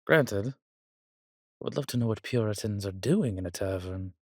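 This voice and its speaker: Man voicing a husky, courtly vampire seducer. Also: fairly low pitch, normal speed, darkish tone, dry recording, studio quality. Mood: reassuring, possessive. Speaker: voice actor in an audio drama. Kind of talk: intimate romantic audio roleplay addressed to the listener.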